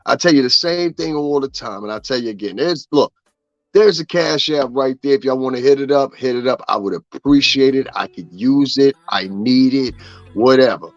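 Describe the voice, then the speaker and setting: A man's voice: mid-range voice, normal speed, no echo, lo-fi sound, faint music behind. A man ranting profanely in casual gossip commentary, often asking if his listeners understand what he's saying. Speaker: commentary streamer.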